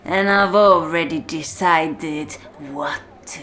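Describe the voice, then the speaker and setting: A woman playing a contemptuous, commanding prison warden in a slow, deliberate, menacing ASMR-style roleplay monologue. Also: low-pitched, a bit bright, a little hiss or hum. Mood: commanding menace. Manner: slow, deliberate, menacing, often dropping to a whisper.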